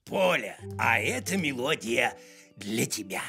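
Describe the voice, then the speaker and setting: An actor playing a gentle, naive young male character in a children's cartoon, earnest and guileless. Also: mid-range voice, big pitch swings, measured pace, gravelly, music in the background. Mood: grateful, earnest.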